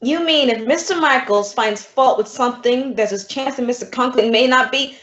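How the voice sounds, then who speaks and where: Actress as witty female teacher, deadpan sarcasm, radio sitcom, muffled and echoey recording. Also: on the high side, normal speed, lightly acted. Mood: dry, questioning. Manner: dry, sardonic. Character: wry, quick-witted woman schoolteacher. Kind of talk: old-time radio comedy acting. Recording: echoey room, dull audio.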